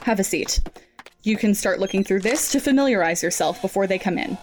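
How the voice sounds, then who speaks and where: An actress playing a cool, businesslike research supervisor with a clipped, formal manner in an audio drama. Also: female voice, mid-range voice, expressive, brisk, close to an everyday voice. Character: brisk, guarded, businesslike female research supervisor.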